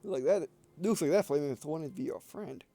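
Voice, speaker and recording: A woman with a very low voice full of creak hosts a gameplay video. She comments casually and offhand in brief reactions. The tone is dark and mellow. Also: animated, fairly quick. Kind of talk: casual let's-play commentary.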